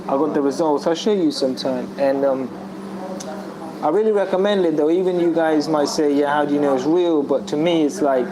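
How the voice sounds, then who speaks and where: A man's voice: a little high, steady tone, brisk, a crowd in the background, rough recording. A man in a casual group discussion, rambling through a personal story with many restarts and fillers. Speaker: discussion guest. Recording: audible background noise.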